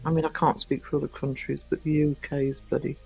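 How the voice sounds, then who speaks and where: A croaky man hosts a casual live stream from home, rambling along with plenty of ums and greeting viewers by name. His voice sits a little higher than most men's. Soft music plays faintly behind him. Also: level tone, fast, poor audio. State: croaky from just waking up. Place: indoors at home. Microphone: desk microphone.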